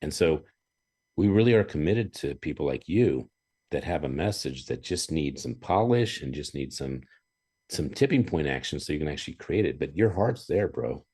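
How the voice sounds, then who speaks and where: A man hosting a podcast, chatting casually and telling animated stories with chuckles and frequent throat-clearing. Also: extremely low pitch, moderate pace. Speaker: podcast host. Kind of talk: casual interview chat.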